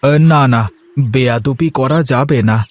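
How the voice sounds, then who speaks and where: A man's voice: medium pitch, a little slow, no room sound, lightly acted. A man voicing a sly, cheeky villager in a cartoon, wheedling and exclaiming as he talks. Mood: mischievous. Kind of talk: cartoon dialogue acting.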